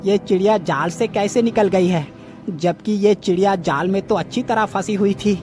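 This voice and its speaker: A man's voice: high voice, normal speed, a little mellow, lightly acted. A man voicing a humble, superstitious bird-catcher in a cartoon story. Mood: baffled. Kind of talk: children's cartoon story voice acting.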